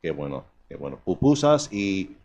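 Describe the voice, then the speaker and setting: A man with a Cuban accent hosting a radio interview, switching between Spanish and English and pausing on fillers as he relays guests' words in Spanish. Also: low voice, sing-song, darkish tone, a little hiss or hum. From Cuban and Puerto Rican heritage. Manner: halting, many fillers and restarts. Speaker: radio host. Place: radio studio.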